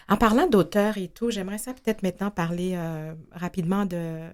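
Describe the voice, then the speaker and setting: A Québécois woman hosts a podcast interview and poses her questions in a soft, hedging way. She keeps up a stream of quiet agreement as her guests speak. Her voice sits at a middle pitch. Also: fairly even intonation, moderate pace. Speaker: podcast host.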